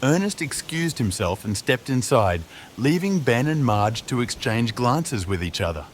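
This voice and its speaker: A man narrating a fairytale story in an expressive, animated reading style. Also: mid-range voice, measured pace, a little hiss or hum.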